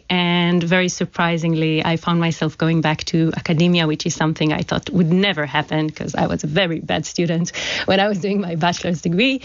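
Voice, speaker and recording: A woman's voice: fairly low pitch, dry recording. A woman from Israel, an academic guest talking conversationally in a radio studio interview. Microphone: studio microphone. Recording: slightly dull, band-limited broadcast audio.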